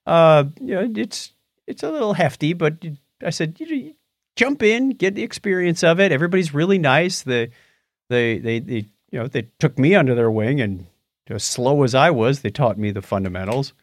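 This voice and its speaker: A man's voice: a little high, animated, moderate pace, no echo, clean studio sound. A middle-aged man co-hosting a podcast in casual, bantering conversation. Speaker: podcast co-host.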